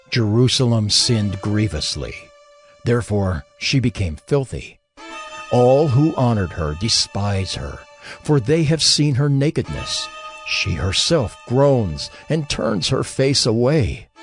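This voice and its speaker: A man narrating scripture in a solemn, dramatized style, with grave, measured, dramatic phrasing. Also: medium pitch. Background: music behind the voice.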